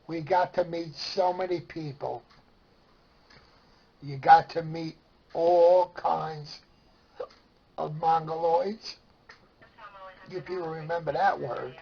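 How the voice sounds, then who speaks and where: A middle-aged man with a Brooklyn New York accent and a husky, gravelly voice, casually reminiscing in a plainspoken, meandering way. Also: fairly high pitch, steady tone, slow pace, pauses often, lo-fi sound. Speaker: storyteller sharing memories of CB radio.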